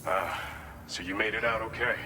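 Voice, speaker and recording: An earnest, practical depot staffer played by a man in a video game. His lowish voice is matter-of-fact and encouraging. It rings with long reverb over clear background noise. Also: fairly even intonation, normal speed, close to an everyday voice. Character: practical, friendly distribution center staffer relying on a courier. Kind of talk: video game dialogue, conversational briefing.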